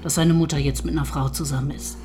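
An actress playing an affectionate, gently urging lover in a radio drama, with natural conversational delivery. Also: female voice, low voice, fairly even intonation, quick pace, natural voice.

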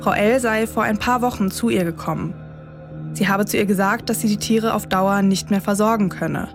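A woman with a slightly high voice narrates a true-crime story as podcast host. She delivers scripted, measured phrases in short statements. Music plays clearly behind her. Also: fairly even intonation. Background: music bed.

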